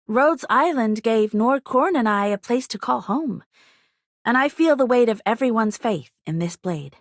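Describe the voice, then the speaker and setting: A woman's voice: fairly high pitch, animated, a little slow, dry recording, clean studio sound. An earnest, steadfast swordswoman. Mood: earnest resolve. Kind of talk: scripted game character lines.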